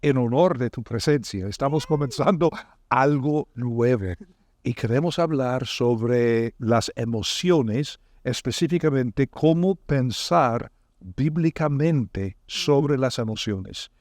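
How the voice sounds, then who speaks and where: A man hosting a podcast, asking his guest questions and explaining the topic in a measured, friendly way. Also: medium pitch, expressive, darkish tone, no room sound, studio quality. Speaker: podcast host.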